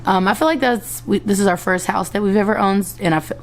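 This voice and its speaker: A young woman vlogging from home with a hoarse voice. She chats casually and rambles, often correcting herself, while she finishes her makeup. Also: medium pitch, brisk, slight background noise. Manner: rambling, self-correcting, chatty. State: hoarse after a concert. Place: indoors at home.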